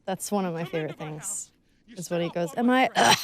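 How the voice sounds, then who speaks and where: A woman with a slightly low voice chats as an actress on a commentary track. She sounds informal and conversational. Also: brisk. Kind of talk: casual commentary.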